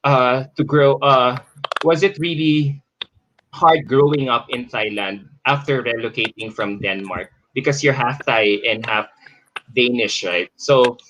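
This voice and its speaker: A man hosting a conversational interview, framing long questions haltingly and repeating his phrases as he goes. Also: mid-range voice, fairly bright tone. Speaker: live show host, interviewer. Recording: clearly noisy background with clicks.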